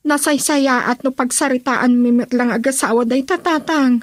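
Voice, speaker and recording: Troubled, dutiful young mother played by a woman, Filipino accent. High-pitched, pleading radio-drama style, closely recorded without echo. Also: fairly even intonation, normal speed, studio quality, natural voice. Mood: hurt, worried. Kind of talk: Ilocano radio drama acting.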